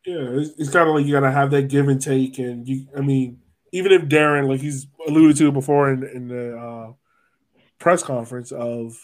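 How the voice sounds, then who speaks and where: A man's voice: medium pitch, fairly even intonation, normal speed. A man hosting a soccer podcast, chatting casually about the sport.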